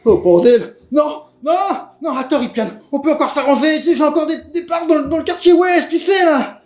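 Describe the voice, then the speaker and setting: A man's voice: extremely high pitch, moderate pace. An actor playing a terrified, beaten gang member who pleads and stammers for his life in an audio drama. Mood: desperate panic. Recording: echoey room.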